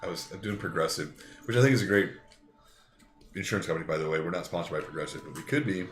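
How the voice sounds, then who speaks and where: Thirties male, low voice, loose joking storyteller; echoey room, faint music bed. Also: quick pace. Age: 30s. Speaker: tabletop game player. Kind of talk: casual pre-game banter.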